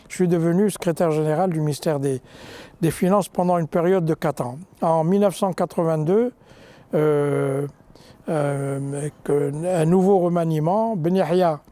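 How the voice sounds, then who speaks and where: An older man, a former minister, recounting his career in an interview, hesitant with frequent restarts and pauses. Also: fairly high pitch, warm tone. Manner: halting, many fillers and restarts.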